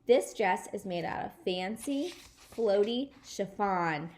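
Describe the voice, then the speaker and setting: A woman's voice: medium pitch, expressive, takes it slowly, darkish tone, a little hiss or hum. A woman voicing a showy, self-important, bossy rich little girl in a children's storybook. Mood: boastful.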